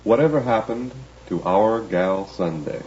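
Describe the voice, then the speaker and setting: A man's voice: fairly low pitch, expressive, unhurried, darkish tone. An American man hosting a radio interview in a reminiscing, conversational style. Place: remote interview on location. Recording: dull noisy old radio recording.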